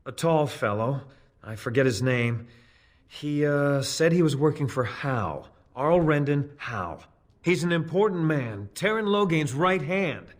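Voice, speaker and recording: An actor playing a nervous, evasive hired spy in a video game, hesitant, defensive and pleading. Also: male voice, on the high side, expressive, measured pace. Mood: frightened, pleading. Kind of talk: video game character dialogue.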